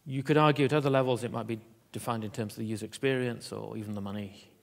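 A man giving a conference keynote in an auditorium, talking in a halting way with many fillers and restarts. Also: medium pitch, fairly even intonation, creaky. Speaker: keynote speaker.

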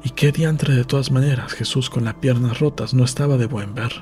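A man's voice: medium pitch, steady tone, brisk, a little mellow, faint music behind. A man reading literary narration from a script, as in an audiobook. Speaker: audiobook reader.

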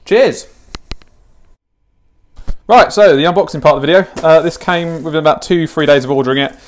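A man's voice: fairly high pitch, moderate pace. A British man in his twenties with a chatty, informal, matter-of-fact way of talking, hosting a casual product unboxing and tutorial. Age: 20s. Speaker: fishing channel host demonstrating gear. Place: home kitchen, indoors.

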